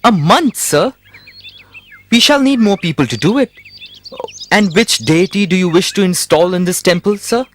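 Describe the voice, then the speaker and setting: A man acting an eager, obedient servant in an animated moral tale. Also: high-pitched, normal speed, pauses often, a little hiss or hum. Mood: surprised. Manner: obedient, questioning. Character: eager, deferential male servant.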